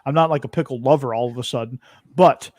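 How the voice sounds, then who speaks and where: A man's voice: medium pitch, fast, a little mellow, no room sound, clean studio sound. A man of forty co-hosting a podcast in casual chat, rambling and restarting his sentences. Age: 40s. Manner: rambling, self-interrupting, chatty. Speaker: podcast co-host.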